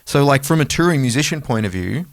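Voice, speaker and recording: A man's voice: medium pitch, expressive, fast, dry recording. A man co-hosting a music podcast in casual conversation. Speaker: podcast co-host.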